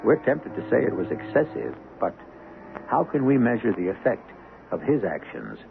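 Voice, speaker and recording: An American man hosting a radio drama with solemn, measured, rhetorical scripted narration. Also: medium pitch, huge pitch swings, creaky, background noise. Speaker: host and narrator. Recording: tinny vintage radio broadcast, music behind.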